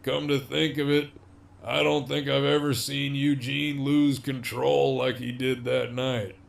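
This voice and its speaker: A man acting a slow, gravelly, cocky captive villain. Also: mid-range voice, level tone. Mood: wry, reflective. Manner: swaggering, foul-mouthed, drawling. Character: cocky, menacing, wryly amused imprisoned gang leader, adult man. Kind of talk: audio drama acting.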